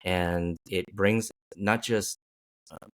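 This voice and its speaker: A man's voice: deep voice, fairly even intonation, takes it slowly. A man hosting a casual interview, rambling with many restarts and fillers. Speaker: podcast host.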